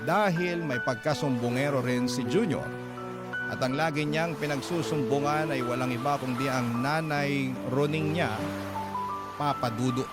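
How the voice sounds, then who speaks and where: A man's voice: medium pitch, measured pace. A man with a Filipino accent, reading a letter aloud as the dramatic narrator of a radio love story. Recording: loud music under the narration.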